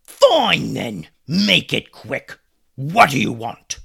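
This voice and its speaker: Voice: mid-range voice, sing-song, slow, creaky, fairly bright tone, slightly rough, no echo, clean studio sound, pitched above the performer's own voice, heavily acted. A storyteller voicing a jeering, villainous pirate captain in a children's story. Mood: angry. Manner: mocking, jeering. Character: gloating, hearty male pirate captain, bearded and villainous.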